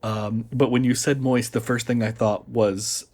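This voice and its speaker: An American man, a guest on a podcast, chatting casually and explaining things in a measured way. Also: mid-range voice, fairly even intonation, a little mellow. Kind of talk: casual chat.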